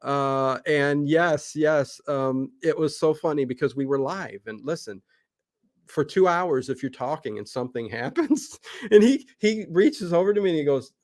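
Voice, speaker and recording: An American man from Cleveland chatting casually as a livestream guest, excitable, often repeating himself and interjecting. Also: on the high side, moderate pace, no echo, studio quality. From Cleveland, United States. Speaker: YouTube channel host, interview guest.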